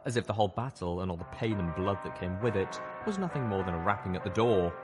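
A man's voice: deep voice, lively intonation, fast, music in the background. A man reading a scripted story as a dramatic audiobook narrator.

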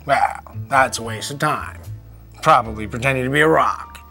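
A man's voice: mid-range voice, normal speed, vocal fry, bright, forceful tone, faint music behind. A man voicing a dismissive, brusque bullfrog police chief in a storybook.

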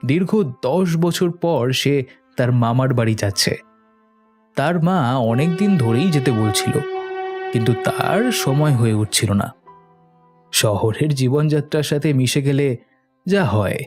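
A man's voice: medium pitch, darkish tone. A man narrating a scripted horror cartoon story in a dramatic storytelling style. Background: faint music.

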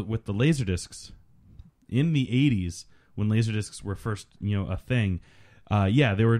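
Low-voiced man in geeky film chat. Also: darkish tone. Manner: rambling, geeky explaining, profane. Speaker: film commentary podcaster. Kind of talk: casual film commentary chat.

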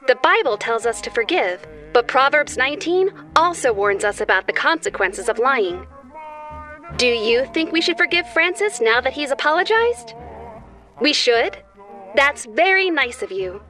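Angel hare, retro kids' cartoon teacher: high voice, gently instructive, moralizing, light music underneath. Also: female voice, sing-song, moderate pace, heavily acted. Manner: gently instructive, talking down to children. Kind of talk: retro children's educational cartoon acting.

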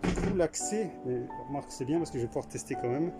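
A man's voice: a little high, animated, fast talker. A man casually touring a small camper van at a trade show, thinking aloud with many fillers and restarts, filmed on a handheld camera. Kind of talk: casual walkthrough commentary. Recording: music playing behind the voice.

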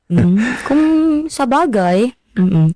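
An actress playing a friendly, modest young marine biologist in a radio drama, with a Filipino accent and a conversational delivery. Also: female voice, mid-range voice, lively intonation, extremely slow, mellow, no room sound.

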